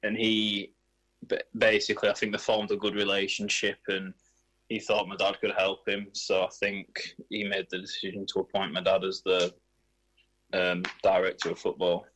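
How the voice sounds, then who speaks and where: A man's voice: low voice, completely flat delivery, moderate pace, a bit bright, rough recording. A man in his twenties, a footballer, answering questions in a casual radio interview. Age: 20s.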